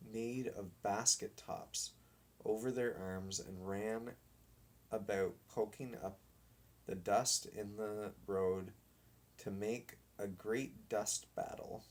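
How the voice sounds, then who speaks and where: Very slow-paced man reading a storybook. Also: on the low side, fairly even intonation. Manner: deliberate, dramatic read-aloud. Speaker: storybook reader. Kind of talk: children's story narration.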